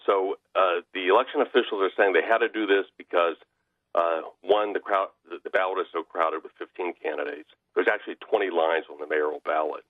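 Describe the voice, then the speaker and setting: An American man giving political commentary as a radio guest over the telephone, halting and often restarting his sentences. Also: low voice, fairly even intonation, no room sound. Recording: narrow tinny phone line.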